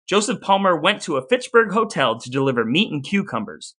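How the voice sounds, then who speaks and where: A man's voice: a little high, bright, forceful tone. A middle-aged American man co-hosting a podcast, telling stories and chatting casually in a wry way. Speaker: podcast co-host. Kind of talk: casual podcast storytelling and chat.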